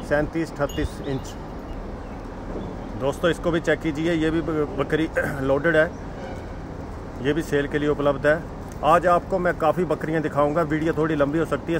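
A man from Himachal Pradesh showing his goats for sale outdoors at his farm, repeating the same sales lines to viewers he calls friends. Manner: repetitive sales pitch, addresses viewers as friends. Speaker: goat farm seller. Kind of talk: walk-around sales video. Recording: outdoor noise in background.

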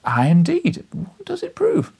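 A man's voice: high voice, wildly swooping pitch, unhurried, creaky, warm tone, breathy edge, voice pushed up, heavily acted. A man voicing a humble, flustered young journalist in a storybook, stammering and over-eager to agree. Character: meek flustered young male journalist bluffing politely.

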